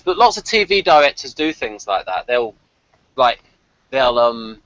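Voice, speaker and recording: A man with a bright, forceful voice hosts a casual review discussion. He rambles chattily, thinking out loud as he goes. The sound is dry and close, though slightly dull and lo-fi. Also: medium pitch, no room sound. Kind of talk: casual rambling fan commentary.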